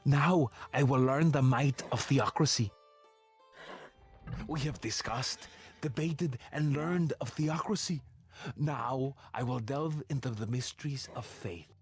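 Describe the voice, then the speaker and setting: A man's voice: medium pitch, takes it slowly, soft background music. A man playing a studious, proud monk in a video game, with a formal, earnest delivery. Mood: proud, fervent. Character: studious monk proudly reporting his religious learning. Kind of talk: scripted video game voice acting.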